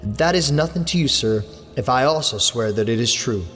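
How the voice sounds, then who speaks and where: English guy doing a touchy young writer, hot clipped answers, a bit higher voice, soft music under. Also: expressive, normal speed, in a large hall, close to an everyday voice. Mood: defensive. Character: young male writer witness, proud and touchy.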